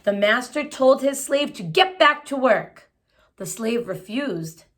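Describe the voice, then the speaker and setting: A woman's voice: a little high, lively intonation, takes it slowly, a bit bright. A woman reading a children's picture book aloud, phrasing it expressively and adding simple explanatory asides for young listeners. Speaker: children's story reader.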